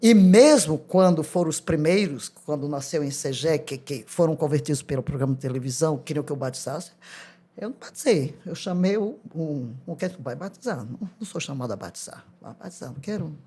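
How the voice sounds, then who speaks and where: Older female pastor, Brazilian Portuguese accent, animated storyteller who breaks into laughter and acts out the voices in her stories. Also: deep voice, a little fast, husky. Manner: animated, laughs often, acts out quoted dialogue. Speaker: pastor, podcast guest. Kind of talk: testimony storytelling.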